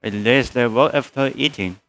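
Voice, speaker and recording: A woman's voice: extremely low pitch, normal speed, faint music behind, low-quality recording. A woman livestreamer making short, offhand remarks over gameplay.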